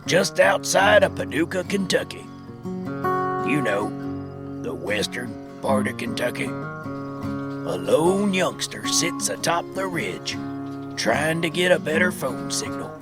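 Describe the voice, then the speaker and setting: A man's voice: a little high, big pitch swings, takes it slowly, pauses often. A man narrating a western tall tale in a folksy, theatrical storytelling style. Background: guitar music.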